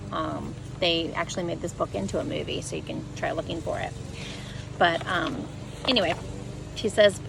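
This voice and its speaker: A woman's voice: fairly low pitch, creaky. Woman reading a picture book, noisy background. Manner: animated, storytelling with emphatic stresses and drawn-out words. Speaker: read-aloud presenter. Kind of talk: expressive children's picture book read-aloud.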